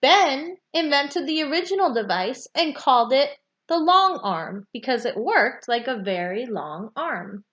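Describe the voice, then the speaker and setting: High-pitched woman reading aloud slowly. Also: big pitch swings, fairly bright tone, muffled recording. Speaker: read-aloud storyteller. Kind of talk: reading a children's nonfiction book aloud.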